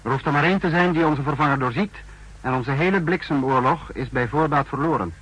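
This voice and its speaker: A stern, sceptical state leader from a 1960s radio spy drama. His voice is bright and forceful with a husky edge. He speaks formally, with cool authority, on an old broadcast recording. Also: a little high, a little fast. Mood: sceptical, commanding. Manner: formal, authoritative, clipped commands. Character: stern, sceptical male Protector, high-ranking state leader. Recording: muffled-edged vintage 1960s radio recording.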